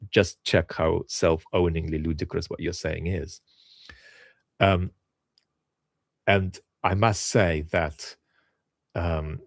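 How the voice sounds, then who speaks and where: A man from Russia who left as a child, answering viewer questions in a casual, thoughtful talk with many pauses and restarts. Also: deep voice, a little mellow, no echo, studio quality. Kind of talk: casual Q&A monologue.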